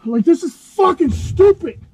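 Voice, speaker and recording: High-voiced cursing man in a cave. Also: animated, measured pace, mellow, far from the mic, a little hiss or hum. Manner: cursing, complaining, flustered. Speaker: friend stuck in a cave. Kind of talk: casual chat. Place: inside a cave.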